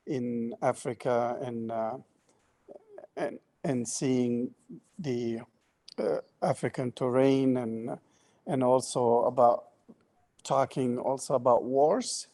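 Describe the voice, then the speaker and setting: Male artist, mid-pitched, slow and hesitant, lots of fillers. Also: warm tone. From Lebanon and Sierra Leone. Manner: halting, full of filler sounds. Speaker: artist on a panel. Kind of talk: speaking at an art panel.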